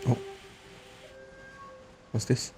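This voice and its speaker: A man giving low-key, casual commentary on a game playthrough, muttering short reactions. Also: extremely slow, mellow, soft background music. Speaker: gamer, playthrough host.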